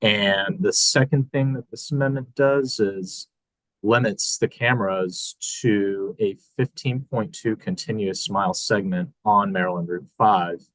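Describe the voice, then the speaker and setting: A man with a somewhat low voice reads legislative amendments carefully, word by word. The sound is dry, with no room echo. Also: steady tone, fairly bright tone. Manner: measured, precise. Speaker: committee staff. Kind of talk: reading legislative text.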